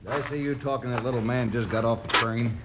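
A man's voice: mid-range voice, quick pace, natural voice. A man playing a suspicious, threatening American stranger in an old radio Western, brusque in manner. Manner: brusque, menacing. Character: suspicious, threatening stranger guarding his mining claim. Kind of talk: 1950s Western radio serial acting. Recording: noisy, crackly old radio recording.

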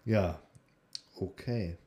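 A man's voice: sits low, extremely slow, dark tone. A young man co-hosting a podcast, chatting casually in a hesitant, loosely phrased way. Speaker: podcast co-host. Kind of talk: casual chat.

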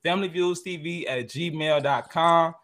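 A man's voice: fairly high pitch, a little slow, a bit bright. A man hosting a casual group chat, talking in loose, slangy, teasing speech. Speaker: podcast host.

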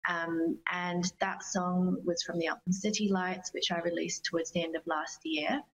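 A woman's voice: on the low side, flat delivery, moderate pace, slightly rough, muffled recording. A woman with an Australian accent, chatting casually and earnestly as an enthusiastic interview guest. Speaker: interview guest, singer-songwriter.

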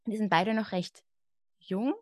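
A woman's voice: mid-range voice, a little slow, no room sound, clean studio sound. A woman in her thirties with an Austrian German accent, a guest expert talking reflectively in a podcast conversation and often saying "genau" and "ja".

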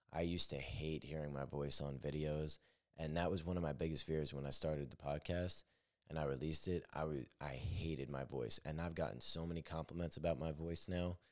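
Brief: young male podcast host, extremely low deep voice, candid rambling solo monologue. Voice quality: naturally deep voice. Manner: rambling, self-correcting, candid.

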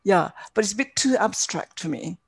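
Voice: medium pitch, animated, normal speed, gravelly, slightly rough. A podcast host interviewing a guest, pressing with follow-up questions.

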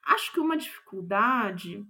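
A woman's voice: medium pitch, animated, moderate pace, pushed and bright. A Brazilian woman speaking as an interviewed playwright, reflective and thoughtful, often searching for the right word.

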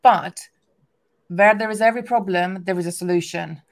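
A woman's voice: fairly low pitch, moderate pace. A woman from Hungary with a strong Hungarian accent, English being her second language, chatting casually as an interview guest about her craft business with lots of restarts and fillers. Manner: chatty, many restarts and "like" fillers, laughs often.

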